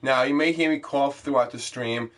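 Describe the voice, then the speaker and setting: A man's voice: medium pitch, normal speed, fairly bright tone. A young man, congested from a cold, chatting loosely and rambling through an intro to his game stream. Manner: chatty, loose, many fillers. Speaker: gaming vlogger.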